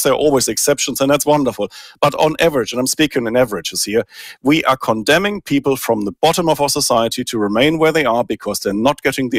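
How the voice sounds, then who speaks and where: A man's voice: medium pitch, no room sound. Guy in his 40s, earnest and emphatic, on a headset video call, dry sound. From Germany. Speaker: think tank guest. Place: small room at home.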